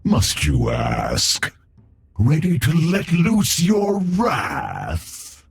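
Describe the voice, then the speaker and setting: A grim undead spider monster from a video game. The voice is a raspy, gravelly growl, dragging very slowly and lurching wildly in pitch. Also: male voice, huge pitch swings, exaggerated voice. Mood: grim, menacing. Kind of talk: video game character voice lines.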